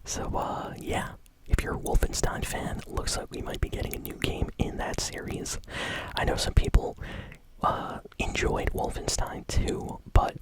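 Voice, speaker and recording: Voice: measured pace, low-quality recording. Whispering ASMR gaming news host. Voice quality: soft unvoiced whisper throughout.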